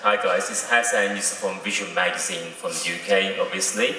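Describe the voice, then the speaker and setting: A man's voice: low-pitched, fairly even intonation, brisk, background noise. A man working as a magazine journalist who asks his questions in a playful, teasing way and praises generously. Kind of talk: joking press question. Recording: very echoey hall from far off.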